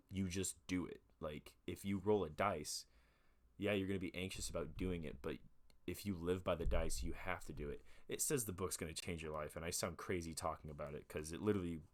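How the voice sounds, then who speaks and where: A man in his twenties hosting a relaxed show, rambling casually through book reviews with lots of fillers. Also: deep voice. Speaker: host of a music and talk show.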